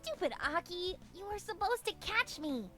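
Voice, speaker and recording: A woman playing a pushy, teasing young female friend in a visual novel, exclamatory and quick to scold. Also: extremely high pitch, expressive, fairly bright tone, faint music behind. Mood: peeved. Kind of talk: anime visual novel voice acting.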